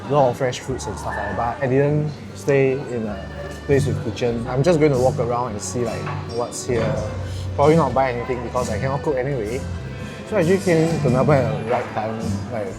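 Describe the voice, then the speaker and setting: A man's voice: medium pitch, fairly quick, loud music behind the voice. Chatty Singaporean man vlogging. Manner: chatty, self-mocking, swears when nervous. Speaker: vlogger, first-time stand-up comic. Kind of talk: casual vlog chat.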